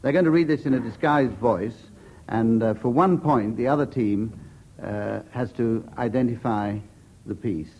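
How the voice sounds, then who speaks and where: A man's voice: medium pitch, a little slow, a little mellow. A British man chairing a comedy panel show in a dry, formal, understated and rather hesitant way. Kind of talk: dry comic game-show presenting.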